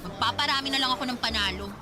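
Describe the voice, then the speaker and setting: A woman's voice: fairly high pitch, rapid-fire, lightly acted. A woman playing a playful, outgoing teenage cousin in an audio drama, with a breezy, teasing manner. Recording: loud music under the voice. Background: music.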